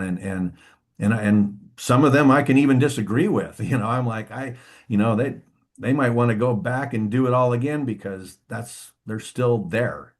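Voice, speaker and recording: A man who is a guest on a podcast interview, speaking in a halting, reflective way with frequent restarts and "you know". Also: on the low side. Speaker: musician guest. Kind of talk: interview conversation.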